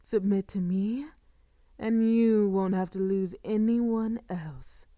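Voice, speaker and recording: A woman playing a seductive, sadistic vampire lord in an intimate audio roleplay, with soft murmurs and a theatrical, condescending, drawn-out delivery. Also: medium pitch, steady tone, no echo, clean studio sound, lightly acted. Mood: menacing, coaxing.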